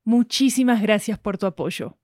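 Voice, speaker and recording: A woman's voice: medium pitch, normal speed, darkish tone, dry recording, studio quality. A woman with an Argentine accent reading scripted promotional narration as an announcer.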